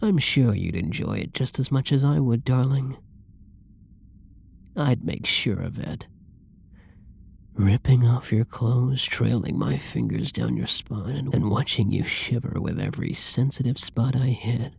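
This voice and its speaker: A performer playing a flirty, dominant, possessive villain in an intimate ASMR roleplay, with a breathy, husky, teasing purr that often drops toward a whisper. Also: medium pitch, unhurried, a little mellow, dry recording. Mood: aroused, teasing. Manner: teasing, drawling, pet-name-laden purr.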